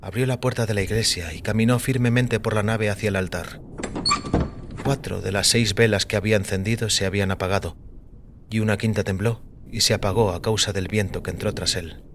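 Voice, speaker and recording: Low-voiced man narrating dramatic fiction. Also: steady tone, brisk, background noise. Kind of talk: scripted dramatic story narration in Spanish. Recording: music under the voice. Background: background music.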